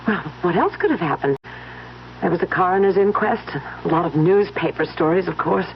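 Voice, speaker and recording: An actress playing a gentle, weary young wife, hesitant and emotional in an old-time radio mystery. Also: female voice, lowish voice, animated, fairly quick. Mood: hesitant, sombre. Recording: dull, noisy old radio recording.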